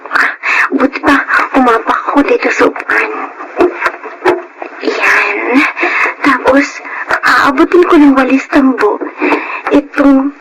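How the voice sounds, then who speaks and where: A young adopted girl, timid and keen to please, in radio drama style. The voice is very high, polite and hesitant, over clear background noise. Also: slow, long pauses. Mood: busy, self-talking. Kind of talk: Filipino radio drama acting.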